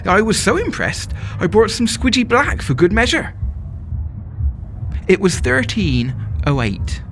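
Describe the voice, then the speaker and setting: A man's voice: sits high, big pitch swings, a little slow, a bit bright, slight background noise, exaggerated voice. An actor playing a smitten, self-doubting young festival-goer, telling his story as a confiding, wry dramatic monologue. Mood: wry, amused.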